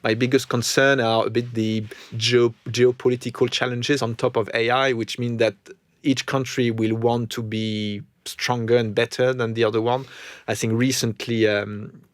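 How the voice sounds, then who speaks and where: A man's voice: mid-range voice, little pitch movement, normal speed, dry recording. A middle-aged man with a French accent, a podcast guest chatting casually, often restarting and repeating words as he thinks. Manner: thoughtful, frequent restarts and repetitions. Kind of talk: casual conversation.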